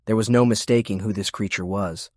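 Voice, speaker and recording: Low-voiced man narrating an audiobook. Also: fairly even intonation, brisk, a little mellow, no echo, clean studio sound. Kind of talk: scripted fiction narration.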